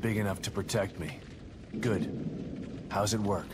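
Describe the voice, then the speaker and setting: An actor playing a grim, determined middle-aged detective in a video game, terse and hard-boiled, haunted by loss. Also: male voice, lowish voice, a little slow, very noisy, close to an everyday voice. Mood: guarded. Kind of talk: dramatic video game acting. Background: music.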